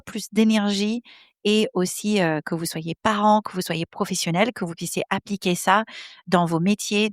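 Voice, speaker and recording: A woman's voice: medium pitch, no echo, studio quality. A woman in her thirties from the American Midwest, speaking French with an American accent. She hosts her podcast from her home office in a personal, hesitant monologue, with many fillers as she searches for words. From United States, Midwest. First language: English. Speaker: podcast host.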